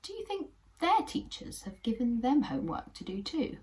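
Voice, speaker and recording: A woman's voice: on the high side, very animated, normal speed, darkish tone, voice pushed up. A woman voicing Bertie, a young male woodland puppet creature who is curious and eager to help, in a children's puppet story. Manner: eager, wondering questions.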